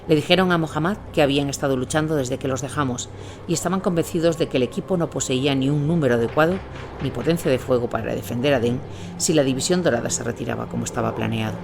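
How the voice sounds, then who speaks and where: A man's voice: mid-range voice, quick pace, creaky, noisy background. A man reading a scripted war chronicle as the narrator of an audio story. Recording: music bed behind the voice. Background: music.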